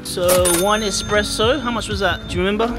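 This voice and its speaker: Rambling guy filming himself walking round an outdoor cafe, slightly high voice, music under it. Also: steady tone, a little fast. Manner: chatty, rambling, self-deprecating asides. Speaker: vlogger. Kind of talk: casual travel vlog narration. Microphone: handheld camera.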